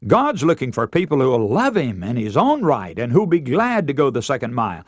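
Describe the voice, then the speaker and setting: A man's voice: medium pitch, huge pitch swings, a little fast, dry recording, clean studio sound. A man preaching a radio sermon in an earnest, emphatic manner, leaning on stories and rhetorical questions.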